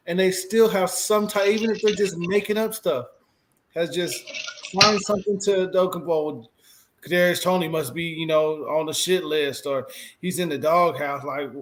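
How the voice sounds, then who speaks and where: A man's voice: high voice, rough recording. A man co-hosting a casual sports podcast, talking in long, exasperated, rambling stretches. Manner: rambling, exasperated, restarts a lot. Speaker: podcast co-host.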